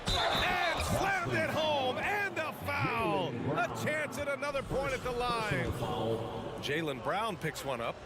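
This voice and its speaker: A man calling a basketball game play by play, getting excited on the big plays, over loud music. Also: extremely high pitch, slow, heavy background noise, exaggerated voice. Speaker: play-by-play commentator. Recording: loud music behind, bouncing ball.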